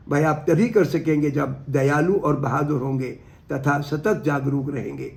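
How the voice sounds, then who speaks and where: An older man speaks like a professor addressing listeners, earnest and deliberate, as in reading out a speech. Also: mid-range voice, vocal fry. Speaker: professor giving a talk. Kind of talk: reading a historical speech aloud, then earnest commentary.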